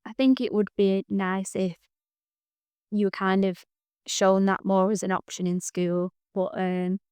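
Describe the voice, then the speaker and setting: Soft-spoken, reflective woman guest. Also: mid-range voice, flat delivery, a little slow, clean tone, no room sound, studio quality. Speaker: business owner guest. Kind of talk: casual interview chat.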